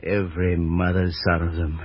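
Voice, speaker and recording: A man's voice: deep voice, level tone, moderate pace, slightly rough. An actor playing a vengeful, gullible henchman, plain-spoken and hesitant, in old-time radio horror style. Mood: gloating. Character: vengeful, gullible male henchman, easily unnerved. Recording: dull vintage radio recording.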